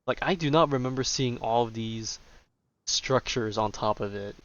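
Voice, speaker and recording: A man's voice: medium pitch, moderate pace, fairly bright tone. A man giving casual, offhand commentary as he streams a game, with wry reactions. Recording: dull, muffled lo-fi microphone. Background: game music.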